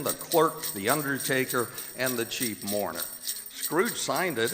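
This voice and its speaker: A man narrates a staged radio drama with a lively, wide-ranging delivery at medium pitch. His voice carries in a reverberant hall, with some music around it. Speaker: announcer and narrator. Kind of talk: theatrical scripted radio-play narration. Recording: reverberant performing arts hall.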